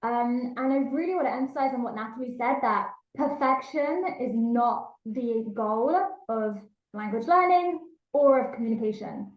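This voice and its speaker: A woman's voice: fairly high pitch, expressive, moderate pace, hardly any pauses, roomy sound, low-quality recording. A woman with an English accent giving a language learning talk, explaining earnestly and repeating key points for emphasis. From England. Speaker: language teacher.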